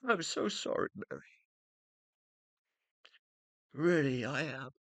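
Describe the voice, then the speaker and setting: An actor in a radio play voicing the uploaded mind of a dead scientist, self-reproaching and loving, with an emotional, hesitant, confessional delivery. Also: male voice, fairly high pitch, lively intonation, moderate pace, fairly bright tone, no echo, studio quality. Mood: remorseful.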